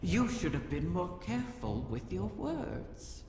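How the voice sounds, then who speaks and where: Slow, echoing sinister male tempter. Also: sits high, sing-song, background noise, heavily acted. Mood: coaxing reproach. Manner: slow, insinuating, reproachful. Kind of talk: video game voice acting. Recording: echoey, long reverb under music.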